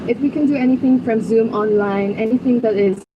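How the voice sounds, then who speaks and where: A woman's voice: a little high, a little fast, highs cut off. Earnest young woman, noisy background. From Macau. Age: 20s. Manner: earnest and upbeat, mixing English with Tagalog words. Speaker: pageant candidate. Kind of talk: pageant question-and-answer.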